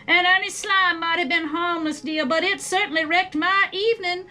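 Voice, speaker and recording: A woman's voice: very high-pitched, fairly even intonation, normal speed, pushed and bright, voice pushed up. A woman voicing a chatty, indignant mother worm in a storybook, complaining emphatically.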